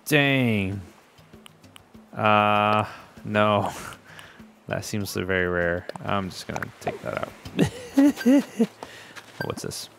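A man from Puerto Rico with a low, gravelly voice, casually talking his way through a game for his viewers. He is laid-back and chatty, laughing easily at his desk mic at home. Soft game music plays quietly behind him. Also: huge pitch swings, very slow, pauses often. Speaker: let's play host. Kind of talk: casual gaming commentary. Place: home gaming room, indoors. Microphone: desk microphone with webcam.

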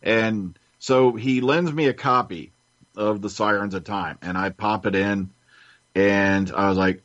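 A man's voice: on the low side, fairly even intonation, a little slow, fairly bright tone, dry recording. A man chatting as a podcast panelist, telling stories with emphatic enthusiasm on muffled audio. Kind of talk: casual storytelling chat.

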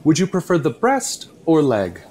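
The waiter in a radio comedy who recites the specials grandly, voice swooping, then fusses and flusters at your table. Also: male voice, a little high, huge pitch swings, normal speed, darkish tone, slight background noise. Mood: fussy, insistent. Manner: pompous, florid, stammering. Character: fussy, pretentious, flustered male steakhouse waiter. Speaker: actor playing a waiter. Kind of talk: radio play comedy acting.

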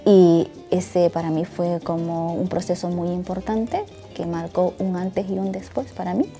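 A woman in her forties from El Salvador, speaking in a measured, reflective way. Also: lowish voice, steady tone, warm tone, soft background music. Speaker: interviewee. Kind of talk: reflective testimony. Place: bright indoor hall.

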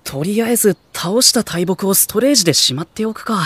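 A man's voice: high voice, expressive, fairly quick, husky, no room sound, full character voice. A man playing a mild-mannered, good-natured teenage boy in an anime audio drama, speaking casually. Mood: exasperated. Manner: casual, gently exasperated.

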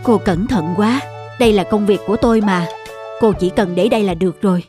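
A woman's voice: mid-range voice, brisk, faint music behind, natural voice. A woman voicing a humble, kindly middle-aged street cleaner in a cartoon drama, polite and apologetic. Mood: grateful, polite. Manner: polite, apologetic, soft-mannered. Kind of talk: cartoon moral-drama dubbing.

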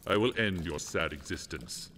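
An actor voicing a pale, sinister sorcerer who gloats with smooth contempt in a video game. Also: male voice, low-pitched, expressive, normal speed, background noise. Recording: game audio with long reverb.

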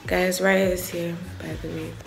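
A woman's voice: on the low side, little pitch movement, normal speed, vocal fry, music in the background. A young woman with a Nigerian accent chatting casually to a phone held at arm's length, as a vlogger. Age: young adult. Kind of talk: casual chat to camera.

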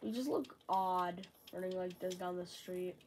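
A woman's voice: fairly low pitch, a little mellow, a little hiss or hum. A woman with a sore throat, casually commenting on her game from a bedroom and rambling to herself. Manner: slow, rambling, talks to self. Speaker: gamer.

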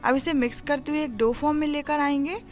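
A woman's voice: high-pitched, fairly even intonation, fairly quick. A woman narrating a step-by-step recipe tutorial in an instructive, explanatory manner. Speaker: home cooking host. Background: music.

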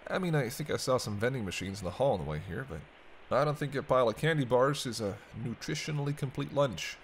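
A man's voice: medium pitch, animated, fast talker, natural voice. A man playing a sarcastic, teasing captive vampire in casual, sweary banter for an intimate roleplay audio drama. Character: teasing, sardonic male vampire held captive by a hunter. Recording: clear background ambience noise.

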